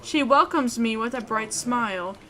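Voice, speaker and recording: A woman hosting a let's play, reading a visual novel aloud in an expressive way. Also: a little high, moderate pace, over music, rough recording.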